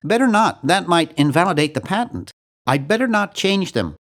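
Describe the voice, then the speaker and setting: A man voicing a nerdy, earnest and anxious young hero in a video game, with a fussy, animated delivery. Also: sits high, moderate pace. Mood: matter-of-fact, fussy. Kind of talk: video game character voice lines.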